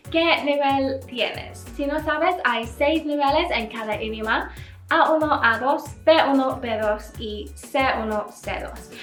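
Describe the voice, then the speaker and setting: A woman from England with an English accent, speaking her second language in a casual Q&A vlog, chatty and quick to laugh, with small learner slips. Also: sits high, a bit bright, roomy sound.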